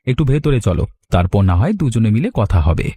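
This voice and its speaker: A man acts a boastful, sly village rogue in an audio story. His voice is husky and mellow, swinging widely in pitch. He cajoles with a swagger. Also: very animated, normal speed, full character voice. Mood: sly, menacing.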